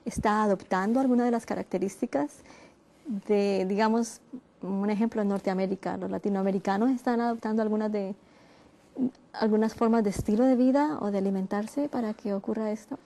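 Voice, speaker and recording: A woman hosting a television health program, opening the show and interviewing a guest in a gentle, earnest way. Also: mid-range voice, steady tone. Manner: gentle, earnest, drawn-out emphasis.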